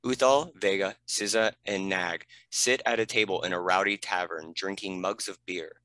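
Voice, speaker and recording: Deep, bright-edged male narrator. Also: fairly even intonation, moderate pace, no echo, rough recording. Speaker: narrator reading stage directions. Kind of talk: scripted narration.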